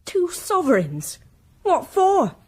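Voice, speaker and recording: An actress playing a warm, cheeky, down-to-earth young Victorian woman in an audio drama, teasing and forthright. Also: very high voice, huge pitch swings, slow, full character voice. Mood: surprised, mock-offended. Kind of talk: period audio drama acting.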